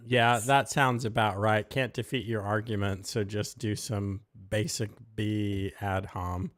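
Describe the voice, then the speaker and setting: A man's voice: fairly low pitch, flat delivery, a bit bright. A man co-hosting a live talk show, giving blunt, opinionated takes in casual chat. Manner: blunt, opinionated, quick asides. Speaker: podcast co-host.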